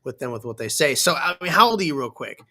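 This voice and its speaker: A man's voice: mid-range voice, big pitch swings, fast, bright, forceful tone. A man in his thirties hosting a podcast, chatting casually as he interviews a guest. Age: 30s. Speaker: podcast host.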